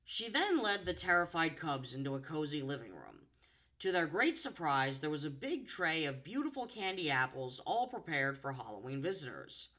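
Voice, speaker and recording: A woman with a low contralto voice reading a storybook and chatting afterwards about her voices, expressive and playful. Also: normal speed. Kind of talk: storybook narration and chat.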